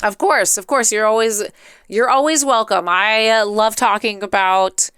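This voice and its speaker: A talkative woman hosts a casual livestream with a bright, forward voice that is strikingly clean and smooth. She rambles off on tangents and reacts expressively, with playful drama. The sound is close and dry. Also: mid-range voice, fairly even intonation, a little slow, dry recording. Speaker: live streamer. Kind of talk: casual chatty livestream commentary.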